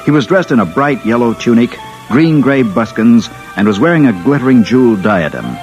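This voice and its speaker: An American actor playing a wry Earth engineer in a 1950s radio drama, narrating and talking. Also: male voice, mid-range voice, very animated, a little fast, a little mellow, close to an everyday voice. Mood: dry, wry. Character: wry male Earth engineer recounting his space mission. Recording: muffled old radio recording with music.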